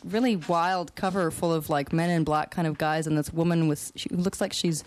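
A woman hosts a radio show in a somewhat low voice. She talks in a hesitant way, full of ums and restarts, and faint background noise runs under her. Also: fairly even intonation, normal speed, a little mellow. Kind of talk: radio interview.

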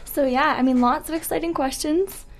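A woman speaks in a high voice with clear vocal fry. She co-hosts a radio show, keeping the chat casual, playful and full of banter. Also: fairly even intonation, normal speed, slight background noise. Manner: playful, giggly.

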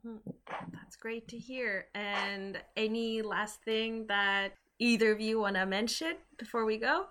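A woman's voice: a little high, unhurried, a bit bright, slight background noise. A woman hosting a casual radio interview in an encouraging, appreciative way.

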